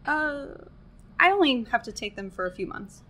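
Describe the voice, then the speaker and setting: A woman's voice: high voice, sing-song, normal speed, pushed and bright, a little hiss or hum, full character voice. A woman playing a young American tourist in a radio play, earnest, wry and self-deprecating, with an American accent. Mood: polite, curious. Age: young adult.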